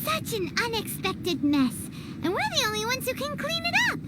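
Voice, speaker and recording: A little game fairy with an extremely high, childlike squeak. She is cheeky and excitable, swinging widely in pitch as she exclaims. Loud noise sits behind the voice. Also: moderate pace. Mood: weary, proud. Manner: exclamatory, refers to herself by name. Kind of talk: cartoonish video game cutscene acting.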